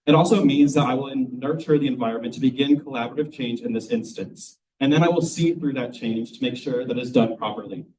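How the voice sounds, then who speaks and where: A man's voice: mid-range voice, fairly quick, few pauses, a little mellow. A young man from Michigan, a first-year student, giving an eager, formal campaign speech at a student forum. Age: young adult. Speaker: student election candidate. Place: room at a public forum. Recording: voice from far off the mic.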